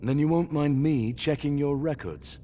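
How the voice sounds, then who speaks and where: An actor playing a terse, determined errand-runner in a video game, direct and wry. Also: male voice, on the high side, moderate pace, dark tone, a little hiss or hum, natural voice. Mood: businesslike. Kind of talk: video game cutscene acting. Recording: slightly muffled audio.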